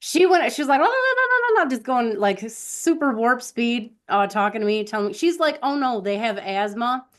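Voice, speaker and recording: A woman's voice: fairly high pitch, very animated, normal speed, hardly any pauses, fairly bright tone. A woman chatting casually as a co-host, telling rambling anecdotes and acting out bits of quoted conversation. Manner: rambling storyteller who acts out quoted conversations. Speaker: podcast co-host.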